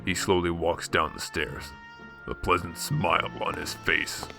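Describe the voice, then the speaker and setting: A man's voice: sits low, flat delivery, a little slow, creaky, over music. A man reading scripted scene narration for a radio drama.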